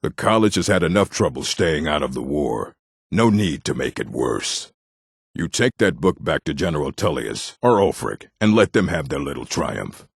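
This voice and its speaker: An actor, a man, playing a surly, domineering orc with a gravelly, raspy voice and brusque delivery in a video game. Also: a bass voice, sing-song, normal speed, no room sound, studio quality, full character voice. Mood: stern, grudging. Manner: curt, brusque. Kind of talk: video game character acting.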